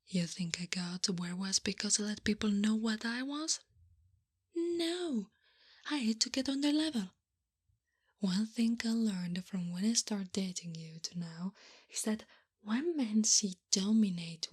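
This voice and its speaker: Woman acting bossy, doting wife, breathy half-whisper, unhurried, coaxing, gently scolding, ASMR roleplay. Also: medium pitch. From Italy. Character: doting, teasing, bossy wife mothering her husband.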